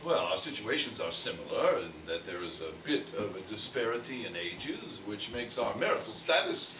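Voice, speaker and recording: An actor playing a cantankerous, child-hating older merchant villain in stage musical style, pompous, blustering and wordy. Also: male voice, lowish voice, very animated, normal speed, noisy background. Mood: smugly courteous. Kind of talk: theatrical musical stage acting. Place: theatre stage. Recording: echoey distant stage recording with music.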